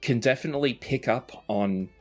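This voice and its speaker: A man's voice: medium pitch, normal speed, soft background music. A man narrating a tabletop roleplaying game as game master, improvising scenes in a conversational way.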